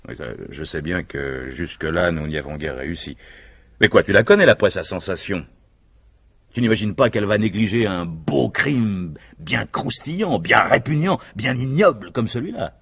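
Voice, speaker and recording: An actor playing a self-assured, scheming husband in a vintage French radio mystery, speaking in persuasive, emphatic speeches with a husky voice. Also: male voice, on the low side, animated, moderate pace, a bit bright, exaggerated voice. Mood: eager, boastful.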